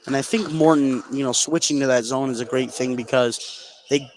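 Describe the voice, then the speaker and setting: A man's voice: mid-range voice, steady tone, moderate pace, darkish tone, a little hiss or hum, poor audio. A man working as a color commentator, explaining a live basketball game in a conversational way.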